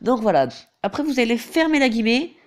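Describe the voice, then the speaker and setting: A man's voice: extremely high pitch, very animated, a little fast. A teenage boy with a head cold talks through a game tutorial over the screen. He hesitates often and keeps falling back on the same filler phrases. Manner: hesitant, filler-heavy, repeats "donc voilà". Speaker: gaming YouTuber.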